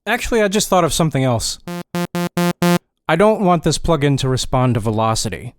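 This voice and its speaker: A man narrating a software tutorial step by step in a casual, informal way, with dry wry asides. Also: medium pitch, very animated, fairly quick, faint music behind. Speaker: tutorial presenter.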